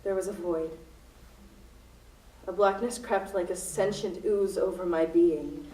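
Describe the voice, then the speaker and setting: A woman in a student radio play acting a desperate, unhinged hostage-taker, melodramatic, moving between hushed recollection and frantic cries. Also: on the low side, steady tone, a little slow, lightly acted. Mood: haunted, brooding. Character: unhinged, desperate female hostage-taker confessing her crimes. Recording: roomy, distant recording with music behind.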